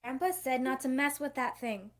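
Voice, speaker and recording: A high-voiced, bratty ten-year-old cartoon girl, acted by a woman in a table read. She is teasing and sharp-tongued, a cousin who loves to needle. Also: animated, moderate pace.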